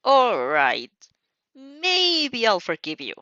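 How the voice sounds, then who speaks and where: A woman's voice: on the high side, huge pitch swings, drawn-out words, bright, forceful tone, no echo, lo-fi sound, exaggerated voice. Woman as an exasperated, pouty teenage schoolgirl. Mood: grudging. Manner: pouty, indignant. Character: flustered, exasperated teenage schoolgirl with a stubborn streak.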